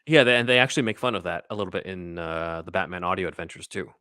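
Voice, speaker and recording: A man's voice: low voice, fast, pushed and bright, dry recording, studio quality. A man co-hosting a podcast, talking casually in an informal, conversational way. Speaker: podcast co-host. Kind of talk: casual chat.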